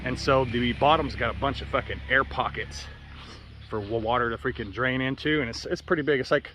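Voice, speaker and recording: A man's voice: mid-range voice, a little fast, fairly bright tone. An American man rambling casually for a vlog, offhand and freely swearing, recorded outdoors in a yard. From United States. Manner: profane, offhand. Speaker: vlogger. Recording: noisy outdoor recording with birds and roosters. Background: birds, roosters and outdoor ambience.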